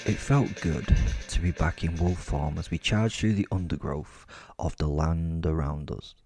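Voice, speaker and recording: Deep, cheeky werewolf storyteller played by a man. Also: a little slow, over music. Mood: wry storytelling. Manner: conversational, wry, casually sweary. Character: bored, cheeky, foul-mouthed male werewolf recounting his hunt. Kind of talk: first-person dark comedy audio drama narration.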